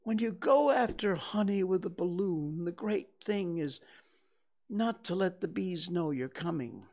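A storyteller voicing a gentle, slow-witted male toy bear who talks slowly and stammers. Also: medium pitch, expressive, pitched above the performer's own voice. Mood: ponderous. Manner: slow, ponderous, hesitant with stammers. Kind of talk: storybook reading.

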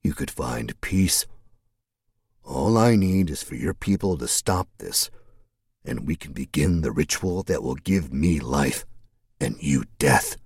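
A man voicing a sinister, manipulative male cult leader who speaks in a slow, smooth, menacing way. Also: very deep voice, animated, darkish tone, no room sound, studio quality, pitched below the performer's own voice, full character voice. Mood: sinister, coaxing.

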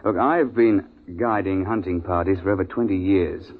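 A man playing a seasoned British safari guide in a vintage radio play, on a narrow-band recording. His voice is low, and he talks with blunt, old-boy assurance. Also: moderate pace, a little hiss or hum, lightly acted. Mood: firm, blunt. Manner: clipped old-boy idiom, blunt, conversational. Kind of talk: 1950s radio drama acting, storytelling.

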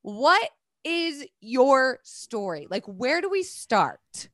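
A woman's voice: high-pitched, very animated, drawn-out words, fairly bright tone, dry recording, studio quality. A woman raised in Florida hosting a podcast, gushing, swearing freely and filling her talk with "like". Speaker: podcast host. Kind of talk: casual chat.

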